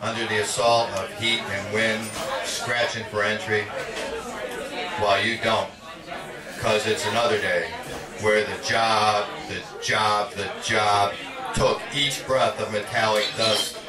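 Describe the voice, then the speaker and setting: A man reading poetry aloud at a live reading in a measured, dramatic spoken-word delivery. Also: lowish voice, little pitch movement, over music, crowd noise. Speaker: poet. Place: indoor literary cafe reading. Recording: echoey, distant live recording with audience. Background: audience sounds.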